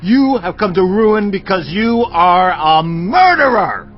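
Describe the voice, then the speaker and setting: An actor playing a furious, vengeful accuser hurling drawn-out curses in a dramatized audio Bible. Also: male voice, high-pitched, very animated, full character voice. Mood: furious, accusing. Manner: shouted, drawn-out denunciations. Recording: dull audio over music bed.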